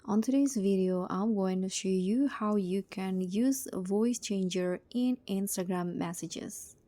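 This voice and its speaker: A woman's voice: mid-range voice, unhurried, mellow. A woman hosting a tutorial, explaining things step by step. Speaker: tutorial host. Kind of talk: step-by-step screen tutorial narration.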